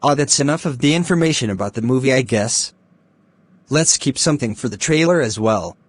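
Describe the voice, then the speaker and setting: A man playing a courteous, easygoing young film star in a scripted cartoon dialogue for English learners, speaking in a deliberate way. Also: medium pitch, expressive, close to an everyday voice. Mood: pleasant, courteous.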